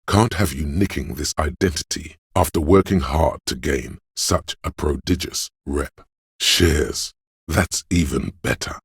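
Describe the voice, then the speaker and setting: A slick, suave inner voice, narrating a video game straight to the player. It is extremely low and heavily husky, recorded very dry and close. The delivery is measured, theatrical and wry, with a cunning edge. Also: male voice, dry recording, full character voice. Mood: sly, goading. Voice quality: very deep, husky. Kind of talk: second-person game narration.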